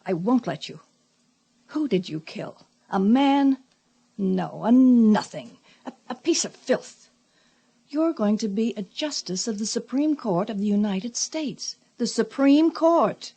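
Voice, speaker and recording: An actress playing a devoted, ambitious middle-aged wife in a radio drama, husky and emphatic. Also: female voice, fairly high pitch, expressive, slow pace, dark tone, dry recording, exaggerated voice. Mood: fierce, insistent. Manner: emphatic, theatrical delivery.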